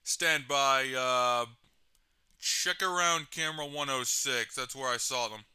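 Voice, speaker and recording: A man playing a gruff, short-tempered security control room boss in an audio drama, curt, profane and commanding. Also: medium pitch, measured pace, pushed and bright. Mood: curt, businesslike. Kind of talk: radio dispatch in an audio drama.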